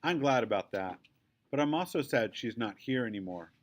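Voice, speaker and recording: A man's voice: medium pitch, lively intonation, normal speed. A man reading a children's book aloud in short, simple storytelling phrases.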